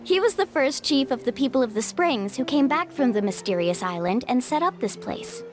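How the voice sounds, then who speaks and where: Casting: bubbly, confident young guide, lively pitch, light music behind. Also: female voice, moderate pace. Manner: upbeat, playful, confident. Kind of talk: video game voice acting. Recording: game audio with music behind.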